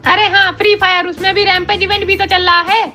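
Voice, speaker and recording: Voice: extremely high pitch, little pitch movement, a little fast, sharp tone, faint music behind. A performer voicing a cheeky young schoolboy who needles the adults in a comic cartoon. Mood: pestering. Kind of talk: comic cartoon dialogue in colloquial Hindi.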